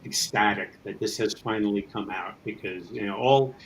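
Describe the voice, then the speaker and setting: A man's voice: lowish voice, moderate pace, a bit bright, slight background noise, poor audio. A man speaking as a guest in a casual promotional interview, talking in a rambling, conversational way about his creative work. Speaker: guest, writer-producer of an audio drama.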